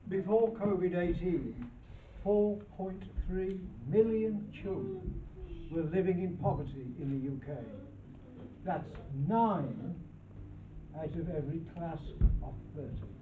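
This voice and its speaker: A man's voice: sits high, very animated, slow. A man reading statistics aloud emphatically, declaiming in short broken phrases. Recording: distant, echoey, tinny, noisy recording.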